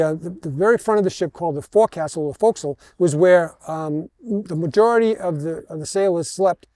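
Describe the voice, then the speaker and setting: Older male tour guide, American. Higher-pitched, relaxed tone, halting storyteller, headset mic outdoors with no echo. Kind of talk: informal guided history talk. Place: outdoors in an open parking lot.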